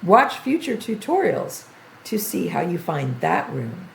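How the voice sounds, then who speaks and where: An older woman streaming a video game tutorial, chatty and thinking aloud as she plays, her voice going a bit hoarse. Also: fairly low pitch, huge pitch swings, measured pace, roomy sound, slight background noise, poor audio. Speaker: gaming streamer. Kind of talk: game tutorial livestream commentary.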